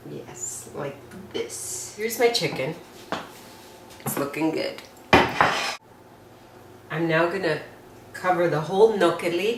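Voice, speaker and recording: Slow, low-voiced woman cooking in an echoey kitchen. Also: far from the mic, background noise. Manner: slow, homely and chatty. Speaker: home cook presenting a recipe. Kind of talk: step-by-step cooking demonstration. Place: home kitchen. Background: clinking pots and cutlery.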